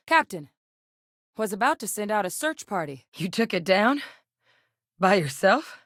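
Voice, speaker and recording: A woman's voice: medium pitch, big pitch swings, a little slow, fairly bright tone, dry recording. An actress playing a capable, dry-witted woman scientist and soldier in a space video game, articulate, earnest and direct. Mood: urgent.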